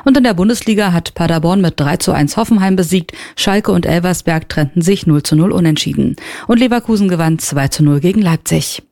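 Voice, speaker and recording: A man reading a radio news bulletin in an even, measured way. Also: sits high, vocal fry, no echo, clean studio sound. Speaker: newsreader.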